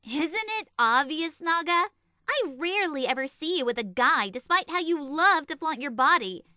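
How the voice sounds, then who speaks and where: A woman's voice: high voice, expressive, moderate pace, bright, forceful tone, no echo, clean studio sound. A woman playing a petite, short-tempered teenage sorceress in a comedic anime fan audio drama, sarcastic and teasing. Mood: sly, teasing.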